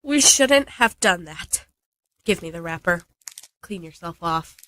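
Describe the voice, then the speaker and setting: Actress as a shy, expressive young woman. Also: fairly low pitch, a little slow, no room sound, poor audio. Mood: guilty. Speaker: radio play actor. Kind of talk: modern radio drama acting.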